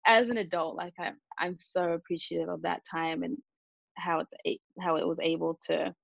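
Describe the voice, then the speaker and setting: Interview guest: calm, earnest woman, native Japanese speaker, lowish voice with vocal fry, thoughtful and hesitant delivery. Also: moderate pace, no echo, lo-fi sound. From Japan and Australia. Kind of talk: reflective conversational interview.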